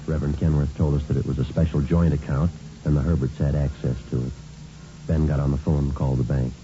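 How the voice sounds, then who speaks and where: A police sergeant in an old radio drama, deep-voiced and clipped. He talks briskly and flatly to the point, businesslike throughout. The sound is muffled, like a vintage broadcast. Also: faint music behind. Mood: matter-of-fact narration. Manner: clipped, matter-of-fact. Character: male police sergeant detective, matter-of-fact, businesslike.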